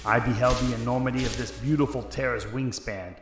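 A man's voice: medium pitch, fairly even intonation, fairly quick, background noise, close to an everyday voice. A man playing a hard-boiled detective, telling his story in terse, dramatic first-person noir narration. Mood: tense recounting. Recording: echoey room, music underneath. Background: music.

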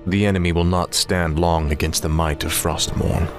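Low, cold young prince bent on vengeance, measured game-cutscene delivery over faint music. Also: male voice, fairly even intonation. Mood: cold resolve. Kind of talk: video game cutscene acting.

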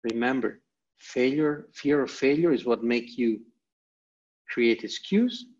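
A man's voice: medium pitch, takes it slowly, darkish tone. An Italian man with an Italian accent presenting a motivational online lecture, speaking in emphatic, repeated short phrases over a video call. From Italy. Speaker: guest presenter. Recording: dull, lo-fi video call audio.

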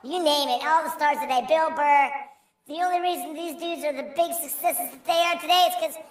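High-pitched theatrical voice through a voice changer. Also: fairly quick, recorded from a distance, slight background noise. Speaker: amateur comic and room admin in an online comedy game. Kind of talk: improvised mock stand-up, riffing with hecklers. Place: online game voice chat. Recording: roomy echo, off-mic game voice chat.

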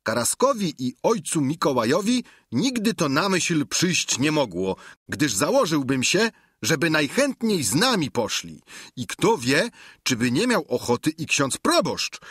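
A man's voice: a little high, very animated, a little slow, fairly bright tone, slightly rough, dry recording, clean studio sound, voice pushed up, exaggerated voice. A man voicing a chatty, simple middle-aged peasant squire who rambles through folk proverbs. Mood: cheerful. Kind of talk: audiobook character reading.

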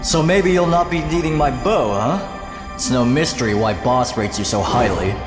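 An actor playing a brash, devoted archer warrior in a video game, with a cocky, emphatic delivery. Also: male voice, mid-range voice, expressive, normal speed, close to an everyday voice. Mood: proud confidence. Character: brash, devoted male archer warrior, younger brother-in-arms. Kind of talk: dramatic video game cutscene acting. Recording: echoey hall reverb, music behind.

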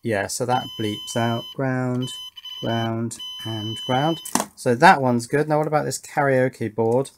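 A man's voice: mid-range voice, fairly even intonation, background noise. A middle-aged man, presenting a hands-on electronics project as casual commentary, musing and putting questions to himself as he goes. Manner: musing, self-questioning, measured. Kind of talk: casual hands-on workbench commentary, thinking aloud. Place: indoor electronics workbench.

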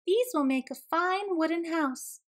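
A woman's voice: very high voice, unhurried, pitched above the performer's own voice. A woman voicing a small young female dassie, an eager and cheerful sister in a storybook.

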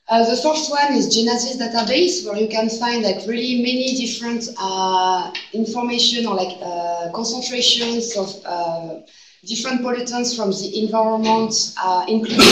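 A woman's voice: a little high, a bit bright, a little hiss or hum. A woman in her thirties with a French accent, giving an academic seminar talk and leaning on "like" as a filler. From France. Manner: non-native phrasing with frequent "like" fillers. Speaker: guest researcher presenting a seminar. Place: seminar room. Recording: echoey room, distant mic.

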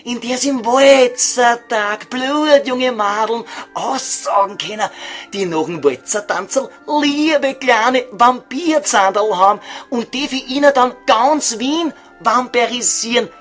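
A man's voice: very high voice, slow pace, a bit bright, soft background music, heavily acted. A man playing a cheeky, foul-mouthed estate agent in a comedy audio sketch, talking colloquially with a Viennese accent. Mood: wheedling, familiar. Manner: crude, wheedling, colloquial.

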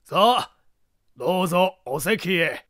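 A man's voice: high voice, lively intonation, slow, fairly bright tone, dry recording, clean studio sound, full character voice. A man voicing a proud, genial aristocrat who speaks in a grand, formal, declamatory way. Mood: hearty, announcing. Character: proud, genial aristocratic uncle hosting a banquet.

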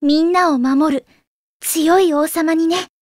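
A woman's voice: very high voice, steady tone, takes it slowly, a little mellow, no echo, studio quality. A voice actress playing an earnest, devoted girl fighter in an anime game. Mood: gentle, hopeful.

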